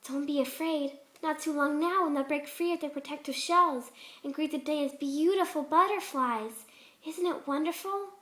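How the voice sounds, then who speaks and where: A woman voicing a shy, gentle young female pegasus pony, bashful, hesitant and polite, in a cartoon fan story. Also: high-pitched, normal speed, pitched above the performer's own voice. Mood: reassuring, pleased.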